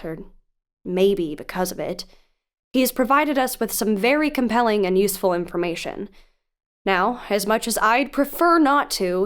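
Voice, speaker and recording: An actress playing a composed, frank investigative podcast host, narrating in a measured, earnest way in an audio drama. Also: female voice, mid-range voice, lightly acted.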